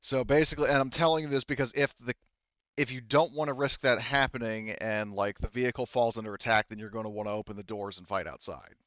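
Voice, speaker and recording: A man's voice: medium pitch, fairly even intonation, quick pace, a bit bright, dry recording, dull audio. A man acting as game master, explaining tabletop rules conversationally in a rambling way.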